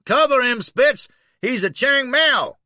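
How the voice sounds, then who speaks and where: Brief: man playing a bawling Southern US hothead, roars everything, loud and high-strung, animated swoops, dry close sound. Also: slow, no room sound, exaggerated voice. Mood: bellowing. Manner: bawling, excitable.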